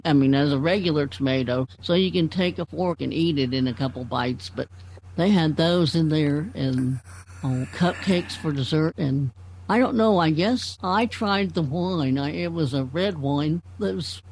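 A woman's voice: low voice, normal speed, a little mellow, rough recording. An older woman in casual group conversation, rambling a little as she searches for words. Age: 60s or older. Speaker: club member.

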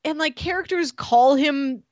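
A woman's voice: high voice, a little slow, darkish tone, no echo, dull audio. A woman co-hosting a casual book-club podcast, chatty and laughing between remarks. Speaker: podcast co-host.

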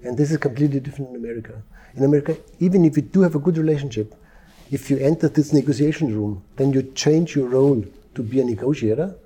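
Male interview guest from Europe, former negotiator; very dark, husky voice, calm and measured delivery. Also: medium pitch. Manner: calm, measured, with frequent restarts. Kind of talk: interview conversation. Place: Dubai.

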